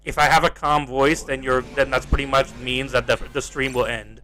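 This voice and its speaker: Exasperated young Australian gaming streamer. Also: male voice, medium pitch, level tone, moderate pace, bright, forceful tone. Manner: rambling, exasperated, swears, repeats himself. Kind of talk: casual livestream chat while playing.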